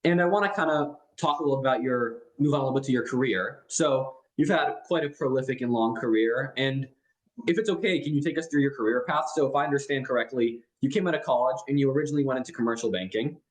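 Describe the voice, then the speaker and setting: A man's voice: mid-range voice, fast talker, rough recording. A young man hosting an interview podcast, reading an introduction and asking long, multi-part questions. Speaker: podcast host.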